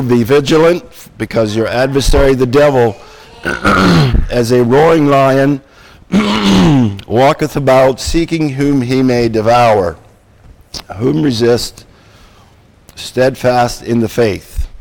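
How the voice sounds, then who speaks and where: Man, preacher, sermon, hoarse from a cold, croaky, slow, halting, throat clearing. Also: medium pitch, darkish tone, low-quality recording.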